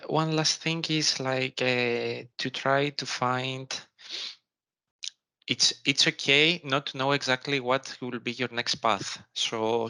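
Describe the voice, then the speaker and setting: Earnest Greek man, bright clear voice. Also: mid-range voice. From Greece. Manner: earnest, encouraging, searching for words. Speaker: alumnus panelist. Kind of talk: online panel advice. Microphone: video call.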